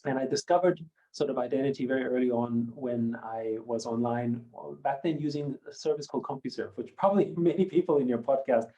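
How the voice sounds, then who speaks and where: A man in his fifties, a German native speaker who grew up in Austria, answering interview questions in a measured, reflective way with many hedging fillers. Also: medium pitch, dark tone, muffled recording. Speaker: company founder and guest. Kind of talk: podcast interview.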